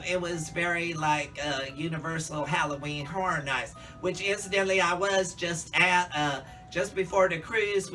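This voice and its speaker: A man's voice: on the high side, bright, forceful tone, recorded from a distance, rough recording. A man in a casual, campy monologue, chatting to viewers as a drag queen reviewer.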